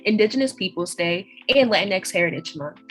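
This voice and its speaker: A woman's voice: mid-range voice, expressive, fairly quick, a bit bright, soft background music. A teenage young woman with an American accent, hosting a student podcast in a casual style.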